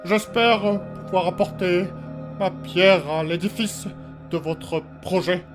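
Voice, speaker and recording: An actor playing a hesitant, apologetic subordinate eager to justify himself in a fantasy audio drama. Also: male voice, high-pitched, slow, music in the background. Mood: servile.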